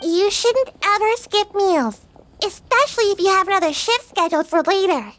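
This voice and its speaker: A woman's voice: very high-pitched, animated, moderate pace, a bit bright. A woman voicing a small, bubbly, curious female fairy companion in a video game. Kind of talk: game voice acting.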